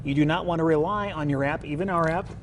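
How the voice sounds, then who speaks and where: A man's voice: a little high, fast, slight background noise. A man presenting weather safety advice as a TV forecaster, in a conversational broadcast style.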